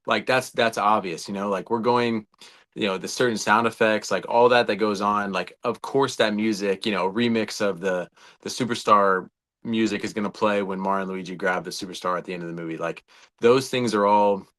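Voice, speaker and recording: A man's voice: lowish voice, fairly even intonation, moderate pace, fairly bright tone. A man, perhaps in his thirties or older, co-hosting a casual movie discussion with an enthusiastic, rambling fan's delivery. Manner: enthusiastic, rambling fan talk. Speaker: podcast co-host.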